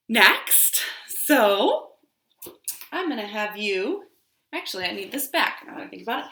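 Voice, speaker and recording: Lively sing-song woman hosting children's storytime. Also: fairly high pitch. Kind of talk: children's storytime with rhymes and read-aloud. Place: living room at home. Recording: roomy, echoey home recording.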